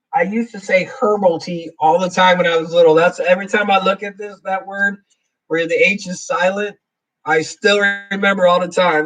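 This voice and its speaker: A man's voice: high-pitched, a bit bright, far from the mic, poor audio. A man hosting a casual live Q&A, rambling through his answers and reading viewer comments aloud. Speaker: livestream host.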